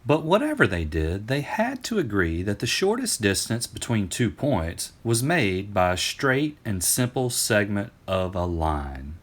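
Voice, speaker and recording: A man reading an educational storybook aloud to students in an expressive, emphatic teacher's manner. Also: lowish voice, a little slow, few pauses. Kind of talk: scripted storybook narration for students.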